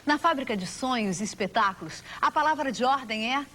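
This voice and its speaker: A woman's voice: on the high side, lively intonation, a little fast, fairly bright tone. A woman narrator reading a scripted television documentary.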